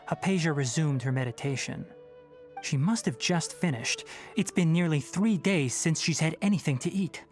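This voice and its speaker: A young man playing a composed, scholarly forest ranger in a video game, articulate, measured and matter-of-fact. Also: a little high, lightly acted. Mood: dry, matter-of-fact.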